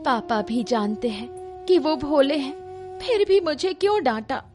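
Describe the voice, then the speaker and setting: A woman's voice: high-pitched, sing-song, a little slow, over music, pitched above the performer's own voice. A woman voicing a hurt, determined daughter in an emotional cartoon story, with a reproachful and resolute manner. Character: hurt, determined grown daughter leaving her village home.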